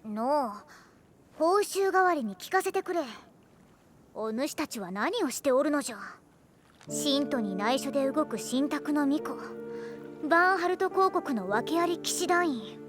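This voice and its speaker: A woman's voice: high-pitched, lively intonation, measured pace. A woman voicing a haughty girl in an anime game, who talks in an archaic, lordly, old-fashioned manner. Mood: probing. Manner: imperious, teasing, old-fashioned phrasing. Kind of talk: Japanese anime game voice acting. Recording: background music behind the voice.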